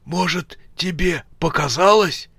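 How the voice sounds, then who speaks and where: A man's voice: a little high, expressive, a little slow, vocal fry, pushed and bright, no room sound, pitched above the performer's own voice, exaggerated voice. A grumpy older bandit as a male narrator puts him on in a crime story. He scolds and frets in a raised, tense voice, recorded close and dry. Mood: uneasy, suspicious.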